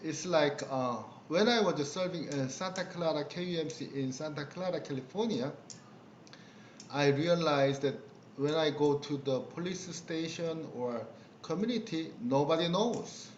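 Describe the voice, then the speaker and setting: Korean accent pastor guy, bit high voice, muffled roomy call audio, lots of uhs. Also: slight background noise. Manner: halting, many fillers, laughs while telling stories. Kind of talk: casual conversational storytelling.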